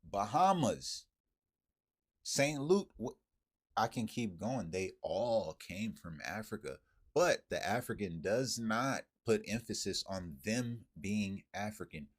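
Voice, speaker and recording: A man's voice: lowish voice, lively intonation, slow pace, slightly rough. A man delivering a heated, profane monologue, firing off rhetorical questions at his viewers. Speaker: commentator.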